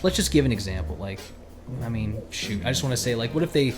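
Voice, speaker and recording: A man with an average-pitched voice hosts a loose, casual podcast chat. He rambles and rewords himself as he goes, with music clearly audible behind him. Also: expressive, fast. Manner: rambling, circles back and rephrases. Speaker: podcast host.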